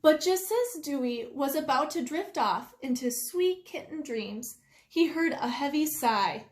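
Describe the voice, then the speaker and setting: A woman reading a children's story aloud expressively, with deliberate, drawn-out emphasis. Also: high-pitched, expressive, a little mellow. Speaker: storytime reader. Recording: roomy indoor echo.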